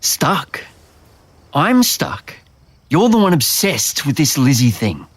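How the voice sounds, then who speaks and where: Casting: weary, sarcastic young Australian in audio drama, raspy, with animated pitch. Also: male voice, slow, no echo, exaggerated voice. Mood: defensive, mocking. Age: young adult. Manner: dry, sarcastic, defensive. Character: tired, guarded, sarcastic young man, restaurateur's son. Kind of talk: naturalistic audio drama acting.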